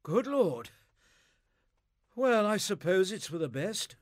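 A man's voice: high voice, big pitch swings, takes it slowly, no room sound, full character voice. A man playing a matter-of-fact upper-class English husband in an audio play, dryly resigned and understated. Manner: dry, understated.

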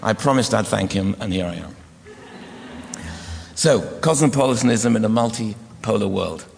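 Hoarse man lecturing in a reverberant hall. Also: fairly low pitch. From United Kingdom. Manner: measured, formal delivery. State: hoarse, croaky voice. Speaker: guest lecturer. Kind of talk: academic lecture.

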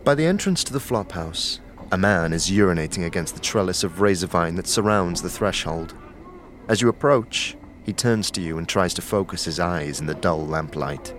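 A man giving measured, scripted narration for a fantasy audio drama. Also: low voice, animated, fairly bright tone, slight background noise.

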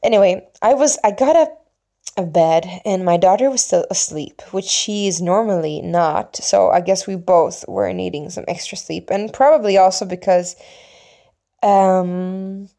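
A woman from Sweden, a native Swedish speaker, telling a personal story in a casual, reflective, meandering way with pauses and hushed asides. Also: medium pitch. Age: adult, mother of young children.

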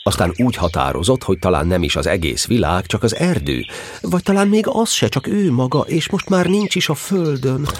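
A man narrating a children's story in an audio drama, with expressive scripted storytelling. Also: medium pitch. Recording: clearly audible background noise.

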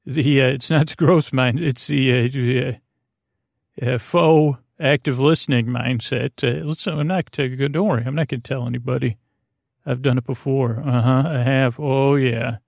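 Man, mid-pitched, creaky, meandering bedtime podcast host, halting, soothing, dry recording. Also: steady tone, darkish tone, dry recording. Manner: meandering, halting, trailing off, repeating himself; soothing, low-pressure. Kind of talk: rambling bedtime monologue to help listeners sleep.

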